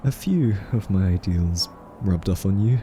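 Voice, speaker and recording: Man playing timid quirky male scientist, mellow soft voice, slow halting speech, nervous stammer, awkwardly polite, audio drama. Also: sits low, soft background music. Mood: relieved, cautious. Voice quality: soft-spoken. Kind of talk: audio roleplay acting addressed to listener.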